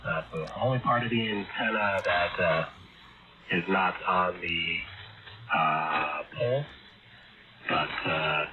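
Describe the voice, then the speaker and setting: A man chatting casually and haltingly as an amateur radio operator over a shortwave link. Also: deep voice. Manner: hesitant, halting. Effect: heard over shortwave ham radio. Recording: muffled shortwave radio link, loud static.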